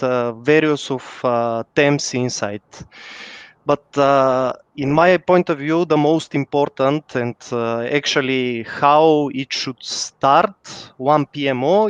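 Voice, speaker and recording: A man from Bulgaria with a Bulgarian accent, answering as a panel guest in halting, non-native English. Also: a little high, smooth, no echo. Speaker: panel guest, head of PMO at a bank. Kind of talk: interview answer on a work topic.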